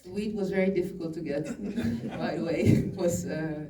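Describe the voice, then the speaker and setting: A woman's voice: on the low side, very noisy. A woman from the Netherlands, a film director, answering audience questions while searching for her words. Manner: slow, searching for words, laughs easily. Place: large cinema auditorium, on stage. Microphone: handheld mic through the hall speakers, filmed from the audience.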